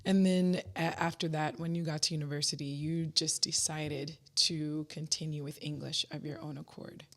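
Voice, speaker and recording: A woman's voice: low voice, flat delivery, normal speed. A woman hosting a school podcast, asking questions and encouragingly summing up her guest's answers. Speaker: podcast host, educator. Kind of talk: podcast interview.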